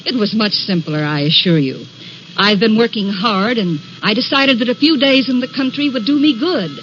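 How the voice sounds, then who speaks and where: A woman's voice: on the high side, lively intonation, moderate pace, fairly bright tone, a little hiss or hum. An actress playing a curious, self-possessed young woman writer in an old-time radio drama, speaking articulately and theatrically. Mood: friendly, probing. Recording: dull vintage radio recording with music.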